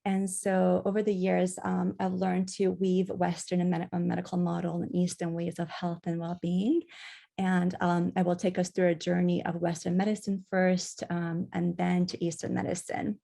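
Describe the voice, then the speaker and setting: A woman from Iran giving an online talk as a guest speaker, speaking softly and hesitantly with many fillers. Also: fairly low pitch, level tone, moderate pace, vocal fry. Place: at home on Kauai, video call.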